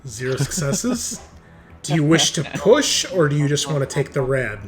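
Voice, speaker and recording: A man's voice: a little high, big pitch swings, normal speed, loud music behind the voice. A man narrating a tabletop roleplaying game as game master, explaining scenes and rules in a conversational way. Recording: home recording with clear background noise.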